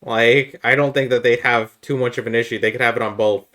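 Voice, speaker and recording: Guy hosting a laid back gaming podcast, normal pitch, informal and kinda persuasive. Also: steady tone, brisk, fairly bright tone. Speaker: podcast host. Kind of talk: casual chat about video games.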